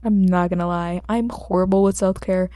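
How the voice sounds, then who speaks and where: Mellow woman reader with a sore throat. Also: lowish voice, steady tone, moderate pace, dry recording. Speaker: amateur audiobook reader. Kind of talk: story narration and casual chat with listeners.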